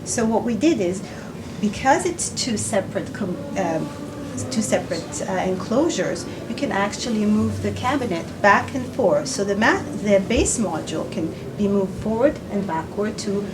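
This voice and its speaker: A woman giving unscripted promotional answers as a company representative, halting as she searches for words. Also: medium pitch, animated, noisy background. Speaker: company representative, interview guest. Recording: slightly distant mic, music behind. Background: background music.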